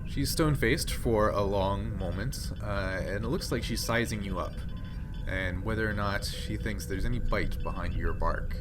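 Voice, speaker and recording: A man narrating a tabletop game as game master, thinking aloud in a halting way as he improvises. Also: lowish voice. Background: music.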